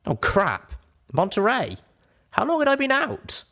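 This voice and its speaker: A man's voice: high-pitched, huge pitch swings, measured pace, creaky, fairly bright tone, pitched above the performer's own voice, exaggerated voice. A man voicing a stubborn, angry young female pony in a dramatized audiobook, with a harsh, emphatic delivery. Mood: anxious.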